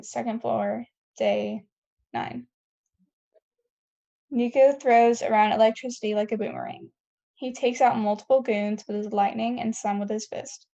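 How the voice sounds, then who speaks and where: Mellow, high-voiced woman narrating a script. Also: vocal fry. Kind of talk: scripted narration of stage directions at a table read. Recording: dull, lo-fi recording.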